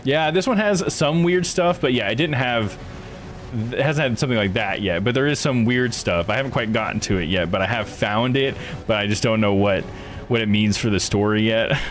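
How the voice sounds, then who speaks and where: A man live-streaming a visual novel, reading its story aloud and chatting casually with viewers. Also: lowish voice. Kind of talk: visual novel playthrough, narration and casual chat. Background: game music.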